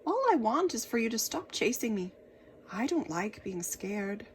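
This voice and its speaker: A big bellowing storybook dragon, acted out by a woman. It is gruff and loud, yet plaintive, a frightened beast eager to say sorry. The recording has some background noise. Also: fairly high pitch, sing-song, measured pace. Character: big roaring dragon, gruff but scared and apologetic. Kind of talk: children's storybook read-aloud.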